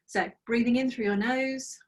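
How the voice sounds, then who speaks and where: A middle-aged woman leading a guided mindfulness practice over a video call, speaking slowly and soothingly with gentle pauses, her voice slightly croaky. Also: a little high, fairly even intonation, recorded from a distance. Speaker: mindfulness trainer. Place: small office with bookshelves, indoors. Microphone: laptop webcam on a video call.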